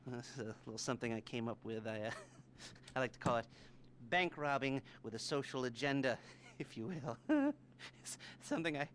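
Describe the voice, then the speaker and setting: A man playing a smug, nervy criminal mastermind in a video game cutscene, rambling haltingly with rhetorical questions. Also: medium pitch, big pitch swings, a bit bright, slight background noise. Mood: smug, musing.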